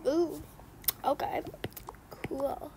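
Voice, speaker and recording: A mean female babysitter, put on by a woman in a game roleplay. Her voice is high, with slow, curt, scornful replies. The home recording is clearly noisy. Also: steady tone, heavily acted.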